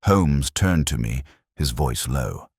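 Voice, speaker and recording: An extremely low-pitched man narrates scripted mystery fiction in a measured, dramatic manner. His intonation moves expressively, and the sound is close and dry. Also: lively intonation, breathy edge, dry recording. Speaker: narrator of detective audio fiction. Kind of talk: scripted first-person mystery narration, audiobook reading.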